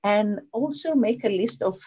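A woman's voice: medium pitch, animated, moderate pace, warm tone, slightly rough, muffled recording. A woman with a Southern European accent, a non-native English speaker, talking as a coach in a conversational podcast interview. She explains things step by step, often posing questions and answering them herself. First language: not English. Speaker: guest, leadership coach.

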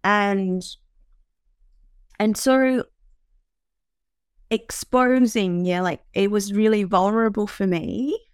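A woman's voice: mid-range voice, slow, pauses often, clear, resonant tone, no echo, clean studio sound. A woman from Vietnam, hosting a podcast, talking reflectively about her own life in a searching way with fillers and restarts. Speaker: podcast host. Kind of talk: reflective personal talk.

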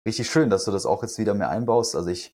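A man with a somewhat low, husky voice talks in an earnest, explanatory way. He sounds like a health coach sharing what works for him, enthusiastic about the topic in a relaxed podcast chat. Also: fast talker. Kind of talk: podcast conversation.

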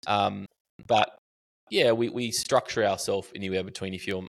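Chatty Australian man, lowish voice. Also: moderate pace, gravelly. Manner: animated, storytelling with restarts and fillers. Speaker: podcast guest, marketing educator. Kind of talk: casual interview chat.

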